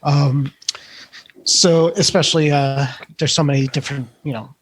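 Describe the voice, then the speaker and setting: A man's voice: a little high, fairly even intonation, moderate pace, poor audio. A man co-hosting a tech podcast, chatting casually and hesitantly as he thinks aloud. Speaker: podcast co-host.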